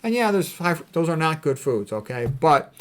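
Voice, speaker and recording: A man's voice: a little high, sing-song, normal speed. A man giving an informal lecture in a blunt, opinionated, emphatic way, talking conversationally with rhetorical questions and frequent check-ins. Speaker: physician giving health commentary. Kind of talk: informal lecture, reading quotes and commenting.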